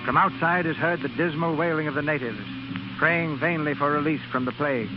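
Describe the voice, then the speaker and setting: A man's voice: a little high, a little fast, background noise. A man hosting and narrating a 1930s radio theater program from a script. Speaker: radio show host and narrator. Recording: muffled 1930s radio recording with music.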